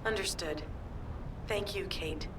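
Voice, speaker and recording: Resolute woman fighter, rumbly background. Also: takes it slowly, noisy background. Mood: calm, resolute. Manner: resolute, serious. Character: determined, battle-scarred young woman fighter, stubborn and self-sacrificing. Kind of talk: dramatic video game voice acting.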